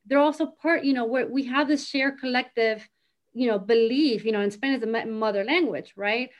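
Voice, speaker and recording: A woman with a fairly high voice talks as a guest in a relaxed conversation. She keeps adding "you know" and "right?" between her points. The sound is dull and lo-fi. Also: moderate pace. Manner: talkative, peppers speech with "you know" and "right?". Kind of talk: casual conversational interview.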